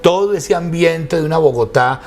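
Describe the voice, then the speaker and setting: A man's voice: on the high side, normal speed, darkish tone. A man from Quindío with a Colombian accent, telling stories as an interview guest in formal, eloquent, elaborate phrases. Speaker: interview guest, academic and radio station director. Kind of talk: conversational storytelling in an interview.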